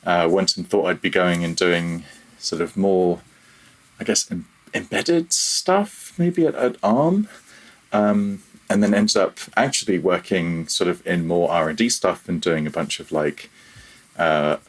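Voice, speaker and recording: Podcast guest, male, deep and slightly rough voice, informal, meandering delivery. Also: a little slow. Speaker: podcast guest, audio programmer. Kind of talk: casual interview, telling own career story.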